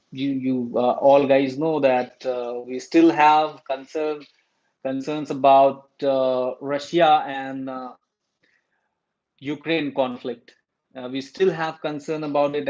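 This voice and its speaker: Hesitant Indian man, flat voice. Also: mid-range voice. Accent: Indian English. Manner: hesitant, many "uh" fillers and restarts. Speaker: YouTube commentator. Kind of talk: casual talk to camera on current affairs. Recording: dull lo-fi recording.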